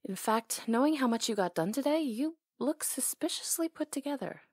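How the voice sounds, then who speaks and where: A woman's voice: a little high, animated, normal speed, slightly rough, dry recording. Playful woman in close, dry ASMR roleplay. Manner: playful, teasing, caring. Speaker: ASMR roleplay performer playing a hair stylist. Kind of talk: intimate ASMR roleplay, casual conversational acting.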